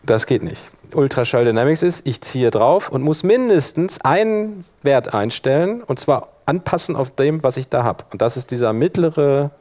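A man leading a workshop, explaining informally and thinking aloud while he operates the software. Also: medium pitch, lively intonation, normal speed, hardly any pauses. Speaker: workshop instructor.

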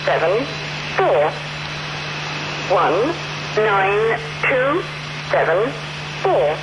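A woman's voice: mid-range voice, very animated, very slow. A woman announcer on shortwave radio, mechanically reading out single digits in a clipped, repetitive way. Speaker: numbers station announcer. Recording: noisy shortwave radio archive recording, reverberant.

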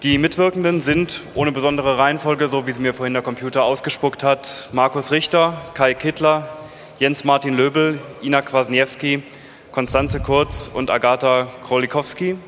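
A man's voice: medium pitch, fairly even intonation, normal speed, a bit bright. A man giving a live introduction as an event announcer on stage. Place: large event hall. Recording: echoey hall with long reverb.

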